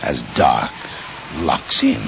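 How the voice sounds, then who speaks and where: Deep, swooping, ominous male horror host. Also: wildly swooping pitch, vocal fry, heavy background noise. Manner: formal, ominous, deliberately dramatic. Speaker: radio horror anthology host. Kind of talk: theatrical scripted introduction. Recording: dull vintage radio, loud music behind.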